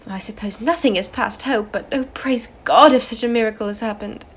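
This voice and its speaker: A young woman acting an anxious, excitable wife on a school stage, breathless and fretful. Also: a little high, a little fast, husky. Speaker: stage actor.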